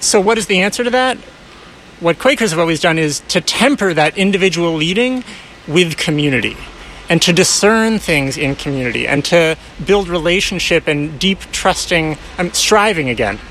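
A man with a slightly raised pitch talks in a reflective, searching way, pausing to rephrase and pushing his voice upward when he asks a question. A faint hum of noise sits under the recording. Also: lively intonation, fairly bright tone, rough recording. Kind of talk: reflective interview conversation.

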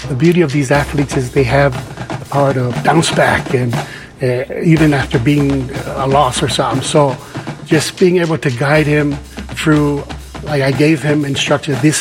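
A man's voice: on the high side, fairly even intonation, moderate pace. A man from Samoa who works as a career advisor talks about his work in an earnest, avuncular way. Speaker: career advisor for college athletes. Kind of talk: interview-style personal talk. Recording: music under the voice. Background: drum-heavy music.

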